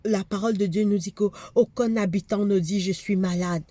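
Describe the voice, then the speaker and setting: A woman's voice: medium pitch, fairly even intonation, moderate pace, mellow, no room sound. Fervent woman preacher with a cold. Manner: fervent preaching, repeats phrases for emphasis. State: has a cold, congested. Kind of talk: sermon.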